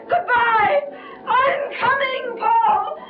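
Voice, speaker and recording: A woman's voice: extremely high pitch, very slow, shouting, faint music behind, full character voice. An actress in a 1930s radio drama playing a vengeful young woman who sobs and shrieks in theatrical fury. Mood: hysterical. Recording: muffled 1930s radio transcription.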